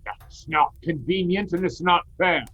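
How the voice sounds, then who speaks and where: An actor playing a grumpy, miserly old employer in an audio play, with a grudging, curmudgeonly manner. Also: male voice, sits high, a little slow, fairly bright tone, muffled recording, a little hiss or hum. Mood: grumbling, grudging.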